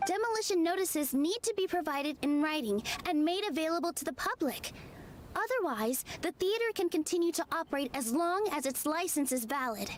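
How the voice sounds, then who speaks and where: An actress playing a sweet, earnest young theater performer in a video game, with a youthful delivery. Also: female voice, high-pitched, expressive, fairly quick, a little hiss or hum. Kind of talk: scripted video game voice acting.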